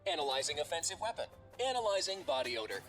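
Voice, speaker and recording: An actor voicing a deadpan, coldly insulting AI in a video game, clipped and pedantic, with robotic processing. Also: medium pitch, quick pace. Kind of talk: video game character voice lines. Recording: game audio under loud music.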